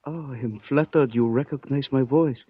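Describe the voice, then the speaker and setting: An actor playing a calm, courteous Chinese man in a 1940s radio drama, speaking in formal, measured phrases. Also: medium pitch, slightly rough, no room sound, close to an everyday voice. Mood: polite, grave. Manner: formal, measured, avoids contractions. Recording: muffled vintage 1940s radio recording.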